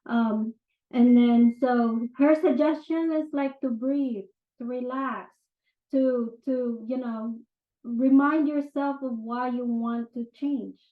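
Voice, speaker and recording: A woman's voice: fairly high pitch, fairly even intonation, slow. A woman with a Filipino accent, explaining personal change in a casual, chatty way and often checking in with "right?". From Philippines. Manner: conversational, many fillers and "right?" check-ins. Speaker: self-improvement teacher. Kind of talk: casual explanatory talk to camera. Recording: tinny phone-like sound in an echoey room.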